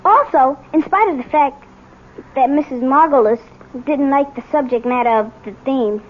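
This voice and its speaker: High-pitched schoolboy acted by a woman, earnest, reassuring, 1970s radio play, narrow tinny broadcast sound. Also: moderate pace, close to an everyday voice. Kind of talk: 1970s radio drama acting.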